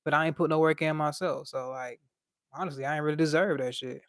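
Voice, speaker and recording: A man's voice: a little high, steady tone, fast, a bit bright, no echo, studio quality. A young man with a light voice, chatting casually on a livestream and reading out chat in a laid-back, slangy way.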